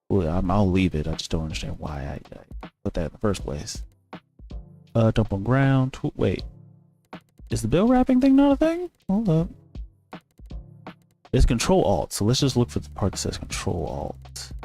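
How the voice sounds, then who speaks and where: Rambling low-voiced man gaming. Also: huge pitch swings, moderate pace, long pauses, warm tone, faint music behind. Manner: rambling, thinking aloud, self-correcting. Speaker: gaming streamer. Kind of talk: casual gameplay commentary.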